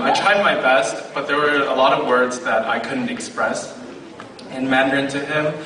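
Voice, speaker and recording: A young man giving a testimony to an audience, telling a lively story and acting out the dialogue with laughs. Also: mid-range voice. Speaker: conference attendee giving testimony. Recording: echoey hall, distant mic, loud noise.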